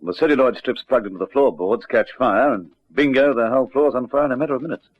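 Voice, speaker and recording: Male actor as inquisitive junior detective: fast, animated, dull lo-fi vintage radio sound. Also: mid-range voice, no echo, close to an everyday voice. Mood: keen, intrigued. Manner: keen, questioning, animated. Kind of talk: old radio drama acting. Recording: dry, dull lo-fi old radio recording.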